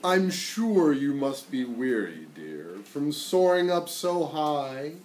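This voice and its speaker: A man voicing a cunning male spider from a storybook, coaxing his prey in slow, drawn-out flattery. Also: a little high. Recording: roomy, distant mic, faint noise.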